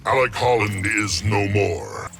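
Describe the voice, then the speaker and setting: A man voicing an ancient, solemn plant monster from a video game, gravelly and rumbling, with grave, formal speech. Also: fairly bright tone, a little hiss or hum, exaggerated voice. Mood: stern, solemn. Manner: slow, grave, formal delivery. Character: ancient, solemn male swamp plant monster guarding nature. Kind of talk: video game character dialogue.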